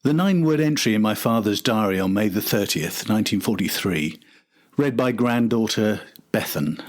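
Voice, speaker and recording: An older man reading a scripted documentary narration as the host and storyteller. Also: on the low side.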